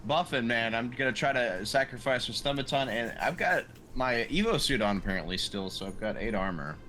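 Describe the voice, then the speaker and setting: A man's voice: medium pitch, lively intonation, a little fast, bright, forceful tone, slight background noise. A man chatting casually as a player in a tabletop roleplaying game. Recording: music playing behind the voice. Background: music.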